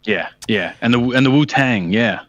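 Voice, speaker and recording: A man's voice: medium pitch, animated, moderate pace, a bit bright, dry recording. A man, a podcast guest calling in from work, talking in a laid-back, offhand way. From Staten Island, New York. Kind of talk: casual chat. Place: at work, over Skype. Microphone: internet call.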